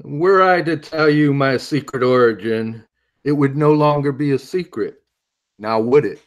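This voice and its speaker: A secretive retired superhero who deflects questions with dry wit, played by a man. His voice sits at an ordinary male pitch, and he speaks in a guarded, matter-of-fact way. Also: measured pace, natural voice. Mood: wry. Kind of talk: audio drama acting.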